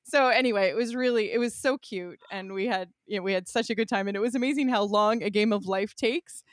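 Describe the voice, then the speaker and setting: A woman's voice: mid-range voice, fairly quick, no room sound, clean studio sound. A middle-aged woman hosting an interview in a casual, conversational way, chatty, informal and effusive. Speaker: interview host.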